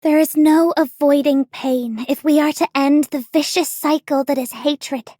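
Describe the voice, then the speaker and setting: A gentle, solemn game swordswoman sworn to protect. Her voice is high and breathy. She speaks slowly and earnestly, recorded dry. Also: steady tone, no room sound. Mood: solemn, devoted. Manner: earnest, measured. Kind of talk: game character voice lines.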